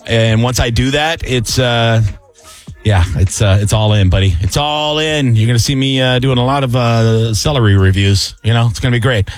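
A man's voice: medium pitch, moderate pace, soft background music. A man from Tampa hosting a casual radio show, talking in a loose, joking way with plenty of fillers and restarts. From Tampa, Florida. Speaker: radio host. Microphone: studio microphone.

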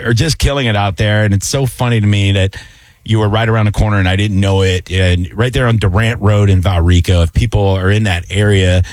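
A man's voice: lowish voice, steady tone, a little fast, a little mellow, no room sound. A man from Tampa hosting a casual radio show, talking in a loose, joking way with plenty of fillers and restarts. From Tampa, Florida. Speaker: radio host. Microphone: studio microphone.

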